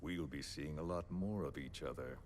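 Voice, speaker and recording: An actor playing a menacing, philosophical villain in a video game, speaking deliberately and with theatrical condescension. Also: male voice, rumbling low, slight background noise. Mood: smug, persuasive. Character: menacing, philosophical male villain coercing a captive. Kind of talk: dramatic video game voice acting.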